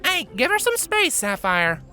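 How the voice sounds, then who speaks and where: Lady as a country pony kid, high and bright, folksy, sensible, bit bossy, storybook. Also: a little slow, soft background music, heavily acted. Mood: scolding. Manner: folksy drawl, deadpan. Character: young country earth pony filly, friendly, sensible, bossy.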